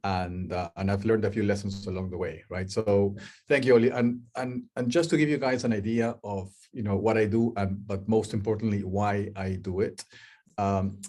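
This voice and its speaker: A middle-aged man with a Peruvian Spanish accent gives a chatty talk over a video call. His voice sits a little low, and he restarts his sentences often while putting questions to the listeners. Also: steady tone, normal speed, warm tone. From Peru. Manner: chatty, asks the audience questions, many restarts. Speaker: guest speaker, life coach. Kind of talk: webinar talk.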